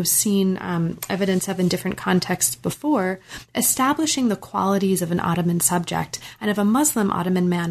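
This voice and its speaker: A woman hosting an academic interview in a conversational, engaged way, rephrasing her questions as she goes. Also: fairly low pitch. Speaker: interview host.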